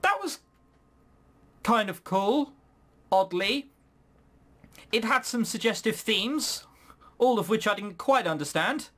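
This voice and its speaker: A man's voice: sits high, animated, slow pace, pauses often, a bit bright. A man giving casual, sarcastic gaming commentary with some swearing, sometimes reading game text aloud. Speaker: let's play host.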